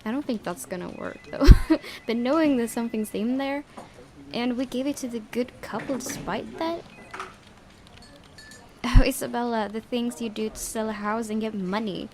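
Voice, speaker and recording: A woman reading a visual novel game aloud in an expressive, giggly way, with casual reactions. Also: on the high side, moderate pace. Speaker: let's-play host.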